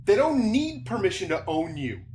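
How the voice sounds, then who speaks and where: A woman's voice: medium pitch, big pitch swings, normal speed, warm tone, natural voice. A woman playing a stern, unyielding corporate security officer in a science fiction audio drama, curt and commanding. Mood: hard, insistent. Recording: noisy, echoing room sound.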